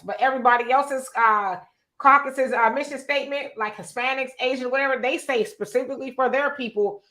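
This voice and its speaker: Bright-voiced woman hosting a live chat. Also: sits high. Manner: lively, calls guests brother. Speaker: host. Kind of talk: casual live-stream chat.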